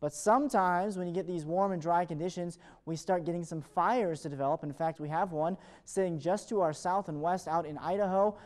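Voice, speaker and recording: A man's voice: high voice, brisk, hardly any pauses, a little mellow. An American man presenting a morning television weather forecast in an upbeat, fluent presenter's delivery. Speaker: television meteorologist.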